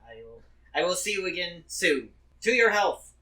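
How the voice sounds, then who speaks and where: A man playing a polite, flustered adventurer who speaks formally and haltingly in a tabletop game. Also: sits high, big pitch swings, bright, forceful tone, voice pushed up, heavily acted. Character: polite, flustered, formal-spoken male adventurer recounting his travels. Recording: distant mic with faint noise.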